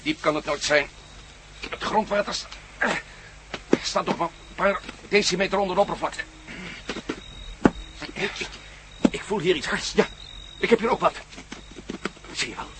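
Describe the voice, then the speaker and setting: A man's voice: sits high, normal speed, long pauses, lightly acted. A man acting a wary, wry adventurer in a Dutch radio play, with a Dutch accent. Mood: curious, tense. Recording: noisy old radio drama with outdoor ambience.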